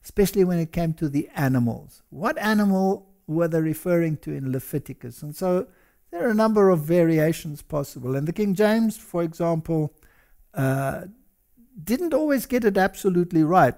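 A man's voice: fairly high pitch, animated, normal speed, a little mellow. An older man co-hosting a religious discussion, explaining points in a lecturing way. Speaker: co-host. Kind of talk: studio discussion.

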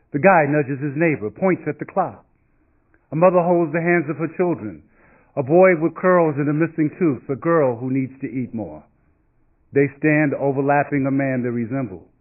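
A middle-aged man reading poetry from a script on stage, in measured, deliberate phrases with dramatic pauses. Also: fairly high pitch, phone-quality sound. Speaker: reader at a literary event. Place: stage in an indoor auditorium. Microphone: stand microphone at a lectern.

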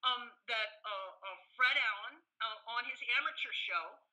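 An older woman being interviewed as an academic expert, explaining enthusiastically and conversationally, with halting, digressive sentences that often restart. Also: on the high side, pushed and bright, low-quality recording. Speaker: interview guest, professor and author.

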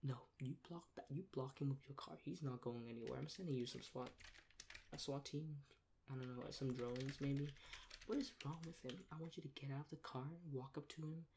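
Young guy doing asmr rude dispatcher bit, deadpan n sarcastic, medium pitch, noisy room w typing clicks. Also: fairly quick. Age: young adult. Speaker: ASMR role-play creator acting a rude emergency dispatcher. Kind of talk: soft-spoken ASMR role play. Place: small plain room indoors. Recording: clear background noise, keyboard clicks.

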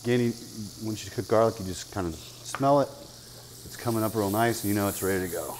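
A man in his fifties walks through a recipe in an easy, chatty way, with plenty of "uh"s. His voice sits a bit low. He talks in a restaurant dining room with a faint hum behind him. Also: brisk, poor audio. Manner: relaxed, chatty, many "uh" fillers. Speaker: chef hosting a cooking segment. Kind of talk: casual cooking demonstration.

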